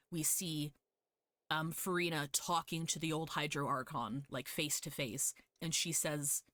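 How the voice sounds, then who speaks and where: Low-voiced woman streamer, dry close mic. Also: a bit bright, no room sound. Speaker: livestreamer. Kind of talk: reading game text aloud and commenting.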